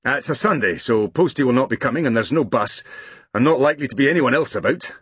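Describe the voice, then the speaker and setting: A man's voice: mid-range voice, lively intonation, fast, husky, no echo. A man voicing a practical old gardener, fretting over a problem in a storybook reading. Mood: worried.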